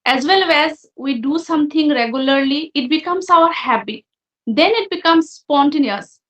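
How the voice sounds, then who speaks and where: High-voiced woman, roomy call. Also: moderate pace, fairly bright tone, dull audio. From Assam, India. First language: Assamese. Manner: candid, reflective, speaks English as a fourth language. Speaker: guest. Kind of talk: casual conversation.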